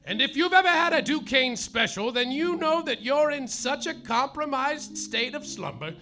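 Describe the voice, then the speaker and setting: A man playing a boozy, hard-boiled male private eye, narrating in a mock-noir deadpan that drags out its punchlines, live on a stage over music. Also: very high-pitched, normal speed. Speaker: comedic detective narrator. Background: live music and audience laughter.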